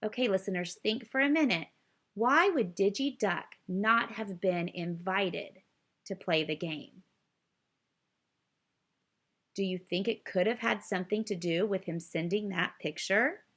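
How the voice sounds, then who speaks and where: Woman storyteller, unhurried pace, mid-range pitch, expressive children's read-aloud narration. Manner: expressive, addressing young listeners with questions. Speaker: storybook reader.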